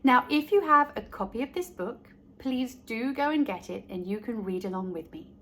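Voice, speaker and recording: A woman reading a children's story aloud as host, speaking directly to young listeners in a deliberate way. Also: fairly high pitch, animated, a bit bright, a little hiss or hum.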